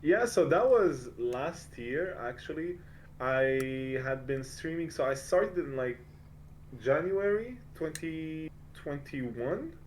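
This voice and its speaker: A man's voice: fairly high pitch, lively intonation, slow, a little hiss or hum. A man in his twenties from France and Lebanon talking informally and easily as the guest in a casual interview. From born in France, grew up in Lebanon. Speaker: interview guest, game streamer.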